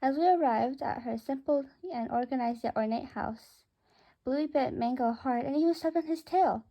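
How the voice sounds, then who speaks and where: A woman's voice: high-pitched, normal speed, dull audio. A woman reading a first-person children's story aloud as its narrator.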